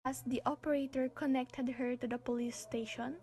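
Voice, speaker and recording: A woman's voice: sits high, steady tone, normal speed, darkish tone, a little hiss or hum. A young woman reading scripted narration for a student radio drama.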